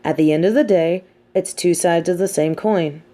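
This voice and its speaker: A woman playing a sardonic, teasing supervillain with a hidden soft side, talking straight to the listener in an audio roleplay with theatrical, mocking emphasis. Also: on the low side, normal speed, natural voice. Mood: philosophical, wry. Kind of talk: scripted audio roleplay monologue spoken to the listener.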